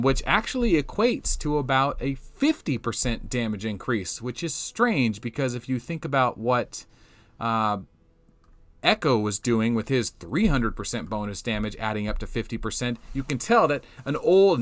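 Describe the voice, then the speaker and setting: A young man, slightly hoarse from losing his voice, giving casual commentary over a mobile game replay and thinking aloud as he goes. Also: mid-range voice, a bit bright, dry recording. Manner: rambling, restarts often, thinks aloud. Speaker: game channel host.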